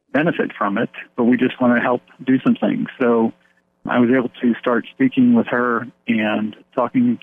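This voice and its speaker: A man's voice: mid-range voice, creaky, a little hiss or hum. A man, a pastor from the United States, talking in an earnest, rambling way with many fillers and restarts during a phone interview. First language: English. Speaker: pastor of an English-speaking church abroad. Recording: tinny phone line.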